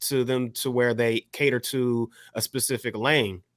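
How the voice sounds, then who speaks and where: A man bantering casually as a music podcast panelist, slangy and halting as he hedges his opinions. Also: medium pitch, fairly even intonation, dry recording, clean studio sound.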